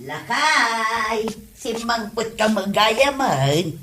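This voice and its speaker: A woman acts out a long-suffering old wife in a comedy audio drama. She nags in an Ilocano accent, with very animated pitch and an exasperated, scolding manner. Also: very slow, heavily acted. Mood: weary complaint.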